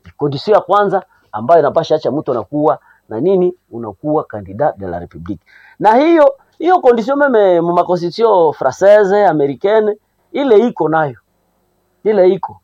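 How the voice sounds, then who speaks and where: Congolese man, civic-education host, higher voice, asks and answers his own questions, muffled dry sound. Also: very animated, normal speed, dark tone, no echo. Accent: Congolese Swahili. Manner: rhetorical questions answered himself, emphatic. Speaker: civic educator presenting a program. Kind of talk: explanatory talk on law, mixing languages. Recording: dull lo-fi dry recording.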